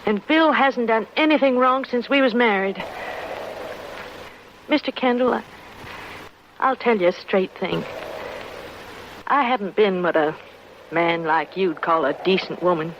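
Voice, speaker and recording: An actress playing a worried, plainspoken frontier wife in an old-time radio western, earnest and hesitant with folksy rustic phrasing. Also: female voice, mid-range voice, unhurried, long pauses, close to an everyday voice. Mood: earnest, pleading. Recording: muffled, noisy vintage radio broadcast.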